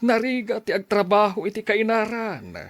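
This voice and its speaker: A man's voice: sits high, animated, normal speed. A man playing a greedy, cunning crime boss in an audio drama.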